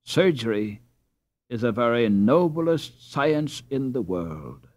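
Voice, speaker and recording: A man's voice: mid-range voice, big pitch swings, slow, a little mellow. A man voicing an earnest, polite and humble poor boy in a storybook, speaking respectfully. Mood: earnest admiration. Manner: respectful, deferential.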